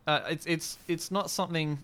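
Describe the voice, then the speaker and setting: A man's voice: fairly high pitch, fairly even intonation, moderate pace, fairly bright tone, clean tone. Wry, rambling man co-hosting a podcast. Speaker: podcast co-host. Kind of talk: casual chat.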